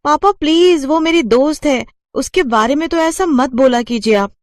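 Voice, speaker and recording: A woman's voice: sits high, moderate pace, no room sound, pitched above the performer's own voice, natural voice. A woman voicing a kind rich young woman who defends her friend in a cartoon story. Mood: insistent. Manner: insistent, defending.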